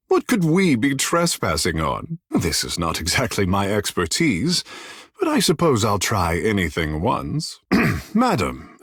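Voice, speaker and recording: Picture a flamboyant, charming young demigod of the sea islands, vain and courtly in a fantasy video game. His phrasing is lofty and theatrical, rising and falling widely. The voice has a slightly husky, breathy grain and sits very close and dry. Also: medium pitch, no room sound, exaggerated voice. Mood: haughty, amused. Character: flamboyant, vain, charming godlike islander man, water shaper. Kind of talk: video game character dialogue.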